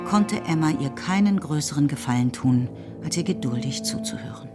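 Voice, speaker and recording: Female narrator, calm scripted narration, low voice, instrumental music behind. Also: moderate pace. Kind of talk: scripted narration of a radio play.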